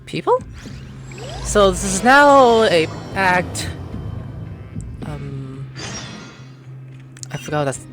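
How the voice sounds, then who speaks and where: Casting: female gaming host, low voice, lively swings, casual offhand reactions over clearly audible game music. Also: extremely slow, pauses often. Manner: offhand muttering with sudden exclamations. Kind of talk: casual gameplay commentary.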